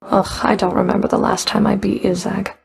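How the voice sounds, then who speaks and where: A woman's voice: medium pitch, flat delivery, brisk, gravelly. A woman playing a stern, sardonic underworld Fury in a video game, speaking in a cool, dry, clipped way. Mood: dry, teasing. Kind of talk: video game character voice acting.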